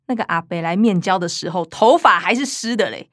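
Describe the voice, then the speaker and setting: An actress playing an earnest, fussy young younger sister in a radio drama, with a Taiwanese Mandarin accent. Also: female voice, fairly low pitch, animated, fairly quick, a bit bright, no room sound, clean studio sound. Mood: earnest explaining.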